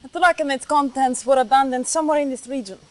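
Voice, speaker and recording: A woman's voice: high-pitched, fast talker, dry recording, natural voice. A woman playing an efficient Soviet military aide in a game cutscene, giving a formal, clipped briefing. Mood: businesslike. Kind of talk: dramatic game cutscene acting.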